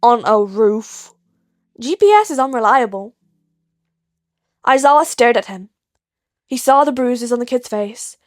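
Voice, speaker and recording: Female fiction narrator, higher-pitched, scripted storytelling, dry recording with some music. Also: no echo. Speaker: podfic narrator.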